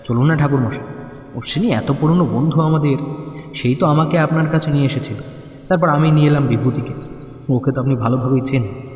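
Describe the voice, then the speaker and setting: An actor playing an earnest, loyal, persuasive friend in a dramatised audio horror story. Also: male voice, a little high, fairly quick, darkish tone, lightly acted. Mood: pleading. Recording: echoey reverb, music underneath.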